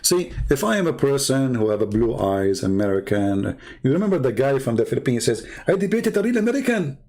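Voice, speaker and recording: A man with an Arabic accent hosts a livestream. He taunts and challenges his viewers. His voice swings high when he scoffs, and he often breaks into short laughs. His pitch sits in the middle for a man. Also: big pitch swings, brisk, a little mellow. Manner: mocking, challenging, often raising his voice sharply. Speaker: livestream debate host.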